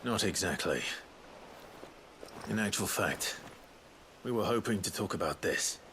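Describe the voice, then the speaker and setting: An actor playing a stern, dry-witted fantasy warrior leader in a game cutscene, terse and commanding. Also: male voice, low voice, animated, a little slow, fairly bright tone, a little hiss or hum, exaggerated voice. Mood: measured. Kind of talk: acted game cutscene dialogue.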